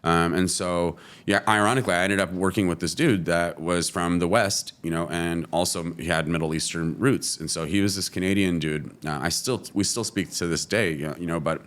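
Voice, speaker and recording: Guest, man from California; very deep voice, easygoing, loose and filler-heavy delivery. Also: a little fast, few pauses. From California, USA. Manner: relaxed, lots of "you know" fillers. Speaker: guest, entrepreneur. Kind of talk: casual interview.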